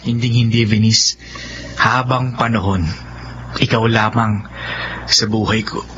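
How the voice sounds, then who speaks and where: A man's voice: lowish voice, steady tone, measured pace, background noise, natural voice. A man acting an earnest, devoted young suitor in a romantic radio drama, ardent and pleading. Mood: tender. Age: young adult. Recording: dull lo-fi audio with music.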